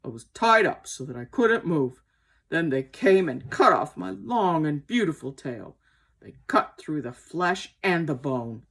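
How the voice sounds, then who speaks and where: An aggrieved old gentleman horse from a storybook, acted out by a woman, with a husky edge. He is gentle at heart but indignant, and his pitch rises and falls sharply as he presses each point dramatically. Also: very animated, a little slow, heavily acted. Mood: bitter.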